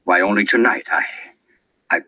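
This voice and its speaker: A man's voice: on the low side, steady tone, normal speed, natural voice. An actor playing a smug, theatrical male accuser with a pompous, taunting delivery in an old-time radio drama. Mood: mocking. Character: smug, theatrical male accuser exposing a poisoner, later ghostly. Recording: dull, lo-fi old radio recording.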